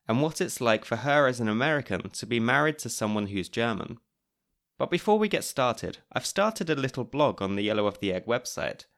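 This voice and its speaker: A man's voice: medium pitch, a bit bright. A man with a British accent, hosting a podcast and narrating its scripted segments in a wry, chatty way. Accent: British English. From Britain. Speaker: podcast host.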